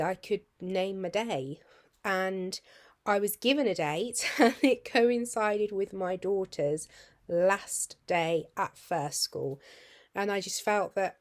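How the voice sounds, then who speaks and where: A British woman with a husky, hoarse voice, chatting casually to her viewers in a rambling, friendly way that sometimes drops to a near-whisper. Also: medium pitch. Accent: British English. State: husky, hoarse after surgery tubes. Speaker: vlogger.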